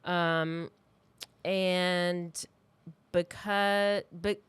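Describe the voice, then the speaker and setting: A woman with a fairly low, smooth voice hosts a true-crime podcast. She tells the story in an informal, conversational way and wanders into asides. The sound is close and intimate on the microphone. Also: level tone, extremely slow, no room sound. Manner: informal, loosely structured telling with asides.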